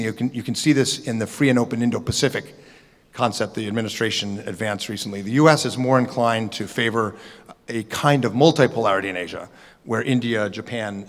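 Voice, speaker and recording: A middle-aged man speaking on a conference panel, talking in a measured, analytical way with frequent ums and uhs into a table microphone. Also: fairly low pitch. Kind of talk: policy forum talk. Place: large conference hall. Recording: conference hall reverb.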